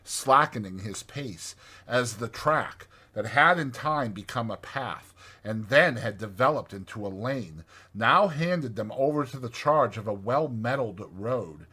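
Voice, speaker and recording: A male audiobook narrator reads literary prose with deliberate, expressive phrasing. His voice is bright and forceful with a husky grain, and his pitch moves in lively swings. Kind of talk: dramatized literary storybook narration.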